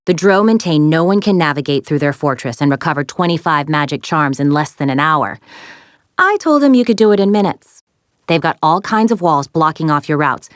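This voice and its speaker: An actress voicing a plucky, sassy young woman adventurer in a video game, expressive and wry as she briefs heroes. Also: low voice, no echo, clean studio sound. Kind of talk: animated video game character lines, giving mission briefings.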